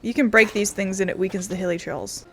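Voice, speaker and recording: A woman's voice: medium pitch, quick pace, breathy edge, faint music behind. A woman giving casual, playful commentary as she streams a game, chatty and informal.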